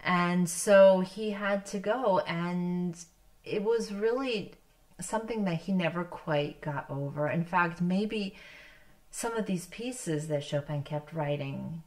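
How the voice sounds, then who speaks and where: A middle-aged woman whose first language is Polish, introducing music as a concert host in a gentle, reflective, conversational way with halting phrases. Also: on the low side. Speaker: pianist hosting a livestream concert. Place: indoor candlelit room, seated at a piano.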